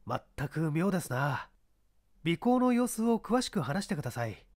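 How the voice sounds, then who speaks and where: A man's voice: fairly high pitch, very animated, normal speed, darkish tone. A man playing a courteous, inquisitive police inspector in a mystery story reading. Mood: probing. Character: polite, probing male police inspector. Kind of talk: radio-drama style mystery reading.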